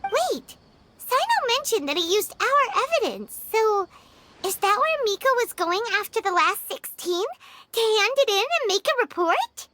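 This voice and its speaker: A woman voicing a small, cheeky fairy companion in a video game, with a squeaky childlike voice and an exclamatory, feisty delivery. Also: very animated, a little slow, bright, forceful tone, a little hiss or hum, exaggerated voice. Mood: curious. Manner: exclamatory, scolding.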